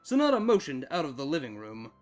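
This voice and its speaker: A man narrating a scripted story with dramatic, expressive delivery. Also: a little high, fast talker. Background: background music.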